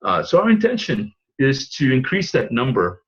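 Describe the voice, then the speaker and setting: A man's voice: medium pitch, wildly swooping pitch, normal speed, fairly bright tone, slightly rough, highs cut off. A man who grew up in Singapore, presenting a business opportunity to an audience in a loose, rambling way with frequent fillers and light chuckles. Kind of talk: webinar pitch talk.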